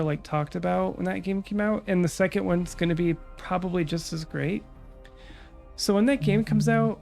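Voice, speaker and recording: A young woman VTuber chatting calmly about her stream plans, her nose stuffed up from a cold, with lots of fillers. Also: on the low side, creaky. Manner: calm, relaxed, rambling with many fillers. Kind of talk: casual stream chat.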